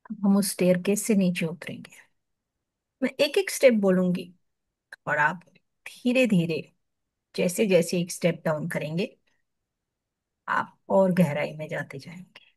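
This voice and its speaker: Female meditation guide, Indian accent, very slow and soothing, dry close sound. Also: on the low side, no room sound. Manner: soothing, hushed at phrase ends, with long pauses.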